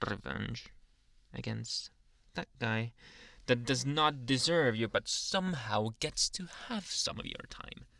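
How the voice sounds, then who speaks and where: A man playing a jealous, dominant anime boyfriend in an ASMR roleplay, teasing and menacing, with a deliberate delivery that dips into whispers. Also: mid-range voice, creaky, pushed and bright, no room sound, studio quality, full character voice.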